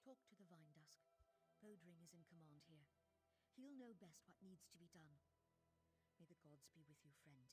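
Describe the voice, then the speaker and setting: Woman acting a low-voiced, sombre soldier. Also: moderate pace, dark tone, faint music behind, natural voice. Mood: grave, dismayed. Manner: grave, solemn. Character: sombre lifelong soldier woman, a weary scout. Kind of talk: video game voice acting.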